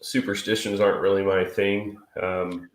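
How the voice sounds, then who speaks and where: A man's voice: sits low, flat delivery, moderate pace. A man in his thirties co-hosting a live stream in casual, crude, joking chat, stuffy and sniffly from a cold. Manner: crude, joking, swears freely. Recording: echoey room.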